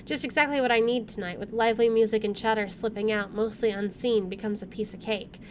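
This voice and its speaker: A woman's voice: mid-range voice, steady tone, fairly quick, a little hiss or hum. A woman hosting a playthrough, reading game text aloud and commenting casually. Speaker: gameplay host.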